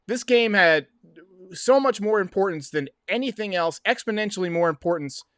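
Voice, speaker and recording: A man in his thirties with a slightly hoarse voice, giving casual, emphatic postgame sports commentary as a livestream host, close on a desk microphone. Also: high voice, lively intonation, moderate pace, a bit bright, no room sound. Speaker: host of a baseball livestream show. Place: small home room.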